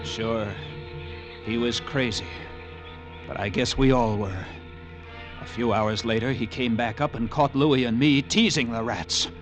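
A man's voice: mid-range voice, steady tone, measured pace, music in the background, natural voice. An actor in an old-time radio drama playing a haunted lighthouse keeper who narrates his horror tale in a brooding, dramatic way. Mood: grim, horrified.

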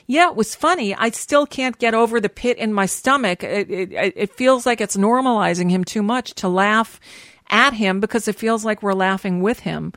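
A woman's voice: medium pitch, fairly bright tone, dry recording. Dry-sounding woman hosting from home. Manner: wry, halting, many restarts. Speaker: radio show host. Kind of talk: casual political chat. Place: home studio.

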